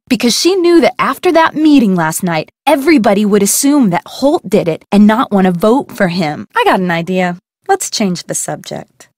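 A woman's voice: medium pitch, no room sound, close to an everyday voice. Gossipy small-town cafe owner, folksy and opinionated, a woman voicing her for a game; dry studio take. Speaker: game character. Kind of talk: video game voice acting.

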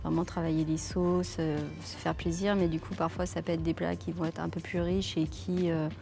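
A woman's voice: lowish voice, little pitch movement, moderate pace, creaky. A woman in her thirties from France, a head chef answering interview questions with many fillers and restarts, filmed in a leafy outdoor courtyard on a lapel mic. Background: soft background music.

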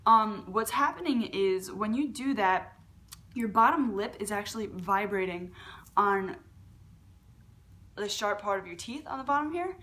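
A woman's voice: lively intonation, unhurried, a bit bright, a little hiss or hum. Chatty young woman teaching beatbox. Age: young adult. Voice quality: lower voice for a woman. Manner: chatty, with fillers and restarts. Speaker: beatbox tutorial host. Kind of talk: casual video tutorial. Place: large living room at home.

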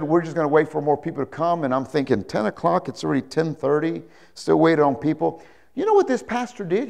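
A man's voice: on the high side, vocal fry, darkish tone. A man in his sixties preaching as a pastor, telling anecdotes emphatically, repeating phrases and checking that listeners agree. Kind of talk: animated sermon with anecdotes. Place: indoor church stage, speaking to a congregation. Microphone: lapel mic.

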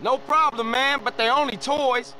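Streetwise young American gangster, high-pitched, forceful, mocking, slangy, video game dialogue. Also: male voice, steady tone, normal speed, slight background noise, full character voice, low-quality recording. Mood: exasperated. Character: brash, streetwise young gangster, sceptical and teasing.

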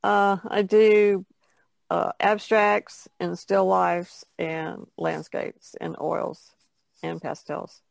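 A woman who paints, chatting casually in a halting, thoughtful way. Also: lowish voice, level tone, creaky, no echo. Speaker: painter being interviewed. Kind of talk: casual chat.

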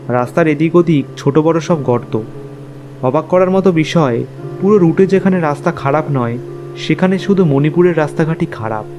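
A man's voice: on the high side, natural voice. A man playing a young journalist, narrating his own ghost story in a scripted cartoon style. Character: young male journalist recounting an eerie night. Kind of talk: scripted first-person horror story narration.

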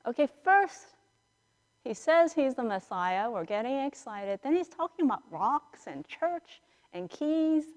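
A woman priest preaches in a fairly high voice, taking her time between phrases. She tells the story conversationally and acts out the dialogue. Her words ring through a reverberant church. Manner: animated storyteller acting out dialogue, with dramatic pauses. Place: large church sanctuary, indoors, standing and moving before the altar. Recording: reverberant church hall, picked up in a large space.

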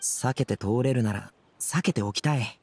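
A man voicing an energetic, good-natured young man in an anime game, talking in a casual, friendly, chatty way. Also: mid-range voice, slight background noise, close to an everyday voice. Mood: uneasy, reluctant. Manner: casual, brisk, friendly boyish speech.

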